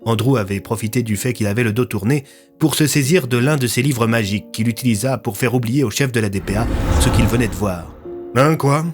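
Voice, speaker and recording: A man narrates a scripted audio fiction. His voice sits at a middle pitch and has a storytelling delivery, with music underneath. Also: brisk.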